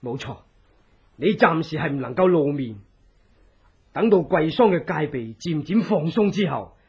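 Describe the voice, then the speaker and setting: Very husky scheming resistance ally. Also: male voice, fairly high pitch, animated, muffled recording, exaggerated voice. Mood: reassuring, earnest. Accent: Hong Kong Cantonese. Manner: deliberate, theatrical, persuasive. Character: loyal scheming male resistance ally, earnest, deliberate advisor. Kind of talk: Cantonese horror radio drama acting.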